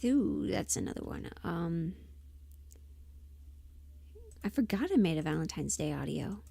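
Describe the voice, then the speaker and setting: A woman's voice: lowish voice, sing-song, normal speed, gravelly, warm tone, breathy edge, dry recording. A woman chatting casually as she hosts a livestream, often muttering half to herself and trailing off as she works. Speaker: livestream host and audio performer. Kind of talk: casual livestream chat while working.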